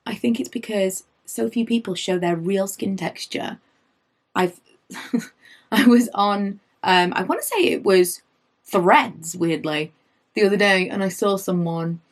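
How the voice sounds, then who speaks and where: A woman in her late thirties, slightly hoarse from losing her voice, chatting through her makeup favourites and wandering off on tangents. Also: medium pitch. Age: late 30s. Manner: rambling, goes off on tangents; animated, rises when indignant. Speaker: beauty vlogger.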